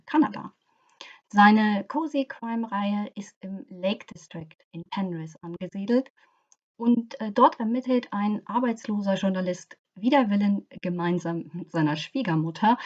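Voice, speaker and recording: A woman's voice: medium pitch, muffled recording. A woman hosting a book podcast, reading her introduction with many hesitation fillers. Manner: reads with frequent hesitation fillers. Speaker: host, culture and travel journalist.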